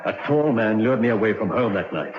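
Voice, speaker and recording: Actor as low-voiced condemned man, weary, noisy recording. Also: expressive, brisk, lightly acted. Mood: bitter, weary. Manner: resigned, despairing. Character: condemned innocent male prisoner, weary and resigned. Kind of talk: vintage radio drama acting. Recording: dull, very noisy, echoing old radio recording.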